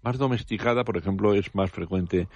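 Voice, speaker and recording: A man's voice: low voice, a little fast, a little mellow, dry recording, highs cut off. An older man with a Spanish accent, explaining nature topics as a radio guest in a professorial, digressive way, with touches of humor. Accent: Spanish from Spain. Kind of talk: casual radio chat. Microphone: studio mic.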